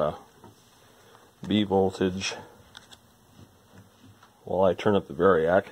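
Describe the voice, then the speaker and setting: Low-voiced man musing casually. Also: slight background noise. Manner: informal, musing aloud. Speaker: hobbyist electronics repairer. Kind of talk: casual hands-on repair commentary. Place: indoor workbench.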